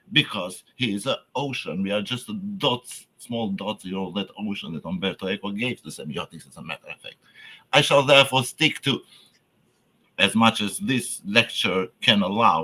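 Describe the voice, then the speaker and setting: A lower-pitched man with a bright, forceful edge delivers a conference lecture in English, his first language being Albanian. He speaks haltingly, stops to find words, and restarts phrases. He stresses key terms with sudden lifts, then lets the ends of his sentences fall away. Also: breathy edge, rough recording. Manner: halting, searching for words, frequent fillers and restarts.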